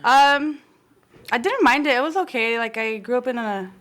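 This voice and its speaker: A young woman in her twenties talks casually as a podcast guest. Her voice sits a little high, and she laughs easily and fills her talk with "like." She is from Southern California. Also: expressive, moderate pace, a bit bright. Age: 20s. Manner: chatty, laughs often, says "like" a lot. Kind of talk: casual chat.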